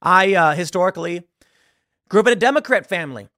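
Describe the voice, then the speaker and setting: Commentary host, thirties male, high expressive voice, dry studio sound, impassioned and mocking. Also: quick pace, no echo. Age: 30s. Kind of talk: live political commentary.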